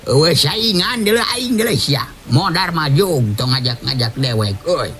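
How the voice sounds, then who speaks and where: A man's voice: a little high, lively intonation, measured pace, a bit bright, soft background music, pitched above the performer's own voice. A man with a Sundanese accent voicing a callous, gloating villain warrior, coarse and mocking, in a folktale.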